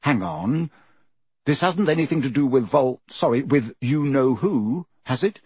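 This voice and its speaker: A man's voice: mid-range voice, expressive, a little slow, a little mellow, husky, no room sound. A man voicing an earnest, worried teenage boy wizard in an audiobook. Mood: urgent. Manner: earnest, urgent pleading. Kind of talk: audiobook character voice.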